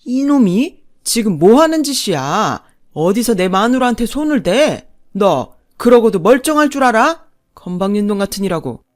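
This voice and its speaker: A woman's voice: mid-range voice, sing-song, moderate pace, voice pushed up, close to an everyday voice. A woman voicing a domineering, abusive older husband and father who scolds with contempt in a family story. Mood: furious. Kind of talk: dramatised family story narration.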